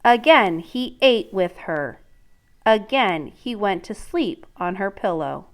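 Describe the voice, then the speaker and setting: A woman teacher reading a children's story aloud in an expressive, deliberate way, pausing to prompt and question young listeners. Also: medium pitch.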